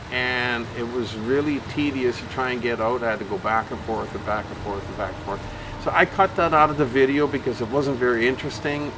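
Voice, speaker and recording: A man's voice: medium pitch, background noise. A man giving casual gameplay commentary, explaining things in a folksy way and often repeating himself. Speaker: gaming channel host.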